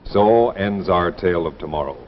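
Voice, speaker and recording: A man's voice: low-pitched, animated, moderate pace, a little hiss or hum. A man announcing a 1950s television programme in a dramatic, polished manner. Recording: dull vintage TV soundtrack.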